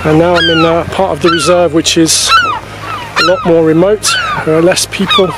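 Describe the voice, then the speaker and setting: A man in his forties talking casually to camera as a wildlife photography vlogger, explaining things in a halting way on an outdoor camera microphone. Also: a little high, steady tone. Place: outdoors on a grassy coastal clifftop. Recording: poor camera-mic sound outdoors, music behind.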